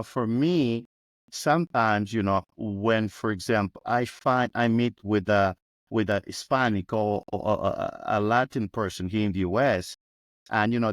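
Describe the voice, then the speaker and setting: A man's voice: on the low side, no room sound, studio quality. A man hosting a podcast, talking animatedly and repeating words as he begins sentences. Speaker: podcast host. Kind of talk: conversational interview.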